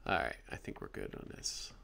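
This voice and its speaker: A man's voice: brisk, bright, forceful tone. A man hosting a let's play, chatty and musing aloud as he reads on-screen text. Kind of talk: gaming commentary.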